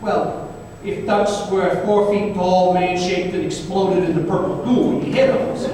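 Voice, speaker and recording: Echoey, distant recording, high-voiced man acting as a wisecracking detective, wry storytelling, old-time radio drama. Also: steady tone, moderate pace, mellow, close to an everyday voice. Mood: amused. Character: wisecracking male detective recounting a supernatural gunfight. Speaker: actor in a staged radio play. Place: live performance in a reverberant hall. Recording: very echoey hall, distant mic, faint noise.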